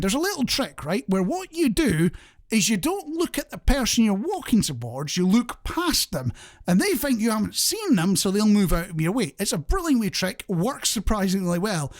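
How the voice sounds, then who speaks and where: A man's voice: high voice, very animated, moderate pace. A man hosting a light-hearted radio show, chatting in a jokey, self-deprecating way straight to the listener. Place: radio studio.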